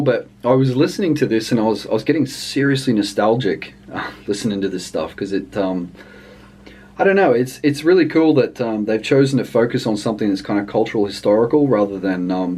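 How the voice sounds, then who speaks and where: Low-voiced man, hesitant and rambling. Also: a little fast, dark tone. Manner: hesitant, rambling delivery with trailing thoughts. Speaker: language book reviewer. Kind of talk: casual unscripted review chat.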